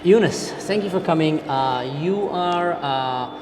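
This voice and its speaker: Halting Israeli-born host in echoey room. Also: male voice, a little high. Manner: halting, many fillers and restarts. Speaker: podcast host, interviewer. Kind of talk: interviewer questions. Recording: echoey room with clear background noise.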